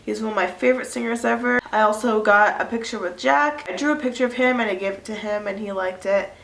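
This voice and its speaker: A woman's voice: on the high side, fast, gravelly, a bit bright. A woman in her early twenties with a stuffy nose from a cold, chatting casually to her viewers with lots of fillers. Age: early 20s. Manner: chatty, rambling, many "like" fillers. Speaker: vlogger. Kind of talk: casual chat to camera. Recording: echoey room.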